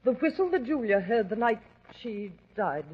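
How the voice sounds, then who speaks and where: A woman's voice: on the high side, expressive, moderate pace, husky. An actress playing an anxious, polite young woman in a 1940s radio drama, with an earnest, formal manner. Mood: grave, anxious. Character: anxious, polite young Englishwoman seeking a detective's help. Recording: dull, noisy old radio recording.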